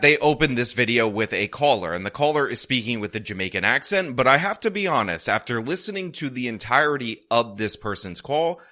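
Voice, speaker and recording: A man delivering a political commentary segment with a bright, animated voice. He talks in a scornful, emphatic way, hitting his points hard as he reacts to the clip. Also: mid-range voice.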